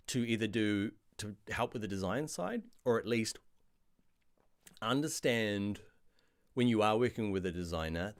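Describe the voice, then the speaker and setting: A middle-aged man with a New Zealand accent, answering viewer questions in a casual live stream about design software. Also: lowish voice, smooth. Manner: chatty, reads viewer questions aloud, animated asides. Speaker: online design course instructor.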